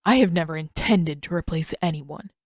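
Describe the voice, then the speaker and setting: A woman voicing a stern, proud old male master in a story reading, speaking in a formal, measured, condescending way. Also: low voice, no room sound, clean studio sound, pitched below the performer's own voice. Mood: grave, scolding. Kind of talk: audiobook-style fan fiction character reading.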